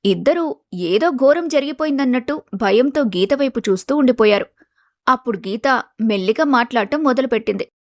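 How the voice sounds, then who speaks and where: A woman's voice: fairly high pitch, lively intonation, moderate pace, no room sound, studio quality. A woman reading scripted storybook narration as the narrator of a family tale.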